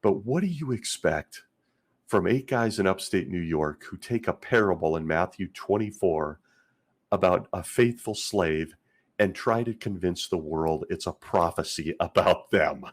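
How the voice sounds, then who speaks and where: Deep-voiced male host, hoarse and scratchy from being sick, wry and sarcastic delivery. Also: lively intonation, a little slow. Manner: wry and sarcastic, chuckling often. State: hoarse from illness. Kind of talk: casual commentary vlog.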